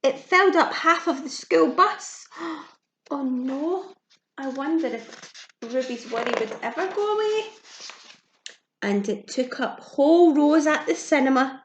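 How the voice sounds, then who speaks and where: A woman's voice: high voice, unhurried, a little hiss or hum. A woman reading a children's storybook aloud like a teacher, expressively and simply, with questions and asides to her young listeners. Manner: expressive, simple child-directed speech with audible gasps and questions. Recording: echoey room sound.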